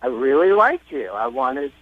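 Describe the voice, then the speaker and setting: A man's voice: medium pitch, big pitch swings, normal speed, no echo. A man calling in to a radio show, talking casually in an earnest, puzzled and defensive way. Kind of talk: casual conversation. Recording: dull, dry telephone line.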